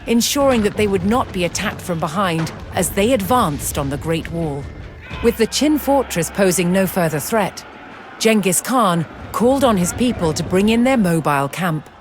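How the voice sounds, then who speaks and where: A woman giving a measured, formal, scripted historical narration in a documentary style. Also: medium pitch, lively intonation. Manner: measured, formal storytelling delivery. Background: music.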